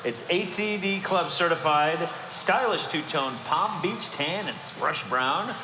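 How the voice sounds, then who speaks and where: A man presenting auction lots, reading out the details in a brisk, polished way. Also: fairly high pitch. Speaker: auction announcer. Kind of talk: lot presentation. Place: large auction hall. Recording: echoey hall with clear noise.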